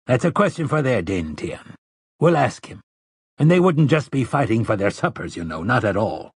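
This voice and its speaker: A man voicing a patient, resolute old priest in an audiobook, with measured, folksy persuasion. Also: mid-range voice, sing-song, husky, dry recording, studio quality. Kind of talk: audiobook character dialogue.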